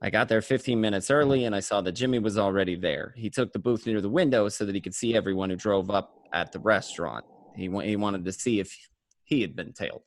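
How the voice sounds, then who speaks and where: A man's voice: fairly low pitch, quick pace, no room sound, close to an everyday voice. A man acting an anxious, weary mobster on the run in an amateur radio play, moving between confession and tense dialogue. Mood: reflective. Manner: first-person confessional narration and tense dialogue.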